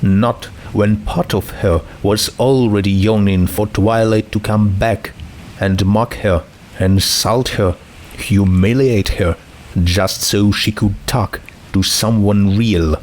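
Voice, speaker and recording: A man narrating a scripted story in a measured, dramatic manner. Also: on the low side, steady tone, darkish tone, slight background noise, rough recording. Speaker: narrator, fan fiction reader.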